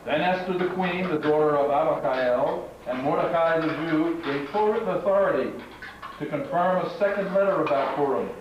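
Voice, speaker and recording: A man reads scripture aloud to a live audience in a measured, declamatory manner, recorded from far off in an echoing, muffled, noisy room. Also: on the high side. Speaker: narrator and master of ceremonies at a congregational puppet show. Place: large echoing room at a live congregational event.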